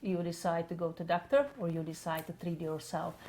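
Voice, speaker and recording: A woman's voice: fairly low pitch, little pitch movement, fairly quick, a little mellow. A middle-aged woman with a Czech accent, talking conversationally as an interview guest about her practice. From Czech Republic.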